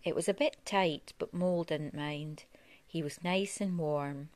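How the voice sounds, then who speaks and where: A woman reads a children's storybook aloud in a slightly low voice. Her delivery is expressive storytelling for a young child, giving the characters' lines some life. The sound is dry, with little room echo. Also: unhurried. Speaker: parent reading a storybook to a child. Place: living room at home.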